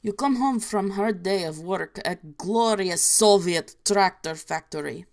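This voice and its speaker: A woman doing a deadpan mock-Russian narrator. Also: medium pitch, a little slow, gravelly. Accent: mock Russian accent, inaccurate. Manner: clipped broken grammar, dropped articles. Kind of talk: reading parody horror stories aloud.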